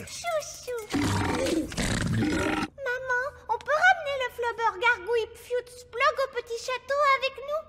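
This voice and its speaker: A woman voicing an excitable, sweet little fairy princess in a French cartoon dub, childlike and exclamatory. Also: very high-pitched, slow, big-room sound. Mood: delighted, pleading. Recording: music behind the voice.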